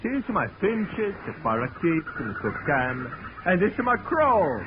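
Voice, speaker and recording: An excitable Italian circus owner in an old radio play. His voice swings up and down as he dotes effusively in broken English. The recording is dull and noisy. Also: fairly high pitch, a little slow. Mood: agitated, proud. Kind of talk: 1940s radio acting.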